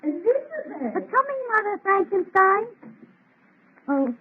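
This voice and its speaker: A woman's voice: very high-pitched, big pitch swings, a little slow, slight background noise, exaggerated voice. A woman playing a devoted young fiancée in an old radio drama, earnest and romantic in delivery. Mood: tender, happy. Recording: tinny vintage radio recording.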